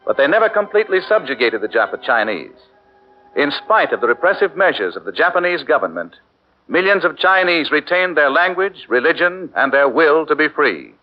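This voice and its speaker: Declamatory 1940s radio narrator, lively pitch. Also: male voice. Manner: measured declamatory delivery. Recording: muffled 1940s radio broadcast with music.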